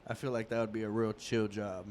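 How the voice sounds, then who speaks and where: A man in his thirties hosting a podcast, chatting in a relaxed, rambling way in a casual interview. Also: lowish voice, fairly quick, slight background noise. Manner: relaxed, rambling, many restarts and fillers. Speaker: podcast host.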